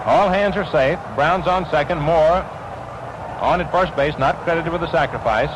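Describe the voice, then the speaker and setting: An American man calling baseball play-by-play in 1940s radio style, describing the action in crisp phrases. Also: on the high side, moderate pace. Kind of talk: 1940s radio sports commentary. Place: ballpark broadcast position. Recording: noisy vintage 1940s radio broadcast.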